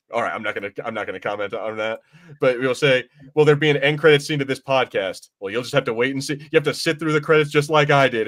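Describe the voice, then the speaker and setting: A man's voice: mid-range voice, a bit bright. A man hosting a podcast in casual chat, talking in an informal, rambling, self-correcting way. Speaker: podcast host.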